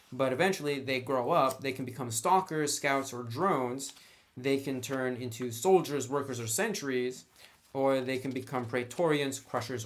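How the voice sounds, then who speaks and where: A man's voice: medium pitch, fairly bright tone. A man giving a conversational review and explanation, stressing key words emphatically by drawing them out. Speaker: tabletop game reviewer.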